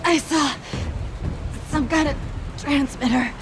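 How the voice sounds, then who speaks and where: An actress playing a shaken police officer giving a report in a video game. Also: female voice, sits high, slow pace. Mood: strained, urgent. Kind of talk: dramatic video game voice acting. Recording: rain and noise behind.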